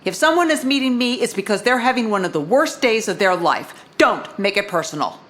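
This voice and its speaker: A woman's voice: mid-range voice, lively intonation, brisk, fairly bright tone. A woman playing a jaded, hard-boiled police commissioner, narrating a noir audio drama in a dry, world-weary, stern way. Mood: stern, worked up.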